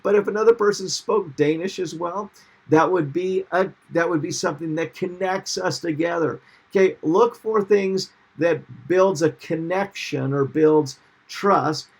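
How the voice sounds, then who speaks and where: A man's voice: a little high, vocal fry, husky. A middle-aged man teaching a recorded seminar over slides, upbeat and often checking in with "okay?". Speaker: marketing instructor.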